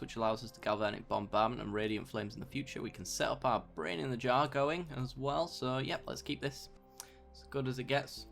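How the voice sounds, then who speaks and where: Young gamer, a bit croaky with a sore throat, relaxed let's-play commentator who talks through each move. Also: male voice, medium pitch. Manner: thinks aloud, mild self-talk, bursts of mock outrage. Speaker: gameplay commentator.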